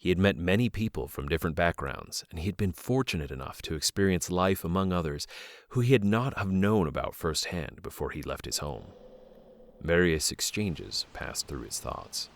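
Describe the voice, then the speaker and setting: A man's voice: low-pitched, animated, normal speed, no echo, clean studio sound. A man narrating a fantasy audio drama in a scripted storybook style. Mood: measured.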